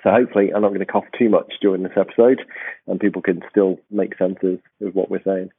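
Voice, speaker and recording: A man hosting a podcast, with a croaky voice and a rambling, restarting way of talking. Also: low-pitched, flat delivery, quick pace, no echo. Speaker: podcast host. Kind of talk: casual podcast chat. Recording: tinny phone-like line.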